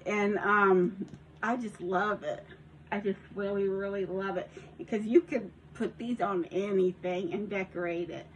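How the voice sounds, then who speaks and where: Slow-talking Southern woman in a craft room. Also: mid-range voice, steady tone, slight background noise, poor audio. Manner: chatty, rambling, with giggles and restarts. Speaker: crafter showing a handmade journal. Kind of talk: casual show-and-tell vlog. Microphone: phone.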